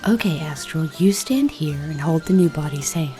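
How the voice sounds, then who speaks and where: A performer voicing a calm, directing female spellcaster in an audio drama, in a plain instructing way. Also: mid-range voice, normal speed, close to an everyday voice. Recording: music behind the voice.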